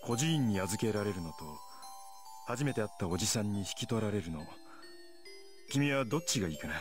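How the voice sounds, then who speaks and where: Casting: male actor as easygoing, soft-spoken middle-aged man for a Japanese game, native Japanese, lively inflection, kind to children. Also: lowish voice, very fast, close to an everyday voice. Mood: kind, gentle. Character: gentle, scruffy, easygoing man speaking kindly to a child. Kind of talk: Japanese visual novel voice acting. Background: music.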